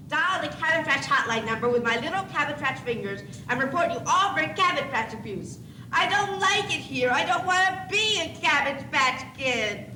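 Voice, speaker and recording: A young woman playing a grouchy, sarcastic baby doll boy in an amateur stage comedy, complaining sardonically. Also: high-pitched. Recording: echoey far-off stage, noisy old videotape.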